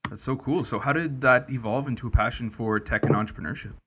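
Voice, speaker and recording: A man's voice: medium pitch, fast talker, a bit bright, slightly rough. A man co-hosting a podcast, reading the intro and asking upbeat, encouraging questions. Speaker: podcast co-host. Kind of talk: scripted intro and interview questions.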